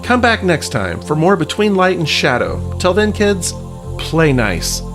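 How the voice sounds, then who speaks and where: A man's voice: fairly high pitch, very animated, unhurried, music in the background. A man hosting a podcast in a casual, wry, self-deprecating and informal way. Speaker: podcast host. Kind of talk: casual podcast talk with read-out news items.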